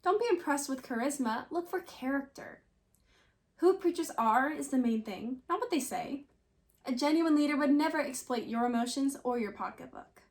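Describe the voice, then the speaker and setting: A woman with a clearly high-pitched voice reads scripture aloud. Her delivery is that of a prepared recitation from a written text. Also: lively intonation, moderate pace, a little mellow. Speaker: scripture reader.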